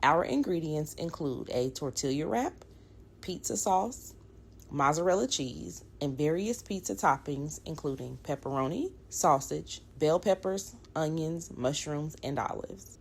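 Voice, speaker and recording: A woman delivering scripted instructional narration as an activity instructor. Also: low voice. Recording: clear background noise.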